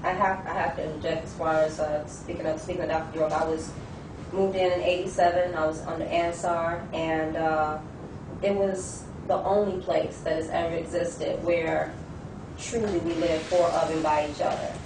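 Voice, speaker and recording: A woman's voice: low voice, flat delivery, normal speed. A woman giving an earnest account in a debate, listing details and repeating herself. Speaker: discussion participant. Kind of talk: testimony in a debate. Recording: echoey room, far from the mic, with clear noise.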